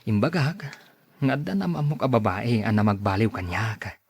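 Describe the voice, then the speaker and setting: A man's voice: lowish voice, animated, normal speed, creaky, husky, heavily acted. A man acting an earnest, courteous young suitor in a radio drama, with an Ilocano accent. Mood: courting, friendly.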